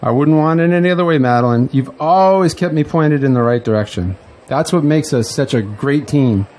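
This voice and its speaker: An actor playing an exuberant, grandiose newly elected politician in an audio drama, with an excitable, speechifying manner. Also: male voice, fairly high pitch, fairly quick, close to an everyday voice. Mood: eager, affectionate.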